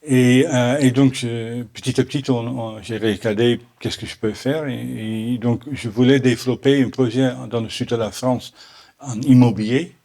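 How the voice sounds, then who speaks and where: A middle-aged man from the Netherlands with a Dutch accent, answering audience questions at a conference in halting French full of restarts. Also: mid-range voice, steady tone, warm tone. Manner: halting, many restarts and repeated words. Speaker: botanical garden owner answering questions. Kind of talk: Q&A after a conference talk.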